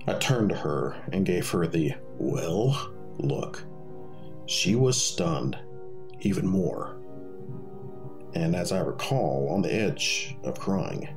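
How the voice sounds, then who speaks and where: A man's voice: low voice, lively intonation, slow, creaky, pauses often, over music. A man telling a personal story in a conversational, wry and wordy way. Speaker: storyteller reading own experience.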